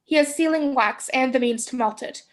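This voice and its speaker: Woman, high-pitched, scripted narrator, reading stage directions. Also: normal speed.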